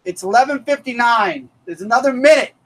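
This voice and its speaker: A man's voice: very high-pitched, a little fast. A man in his thirties hosting a casual comic review live stream, upbeat and thanking viewers by name. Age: 30s.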